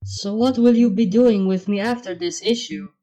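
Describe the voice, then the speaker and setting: A woman's voice: mid-range voice, normal speed, dark tone, lightly acted. A woman voicing a guarded, modest unicorn mare in a story reading, with a dry, understated delivery. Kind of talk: fan fiction character reading. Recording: dull, muffled sound under music.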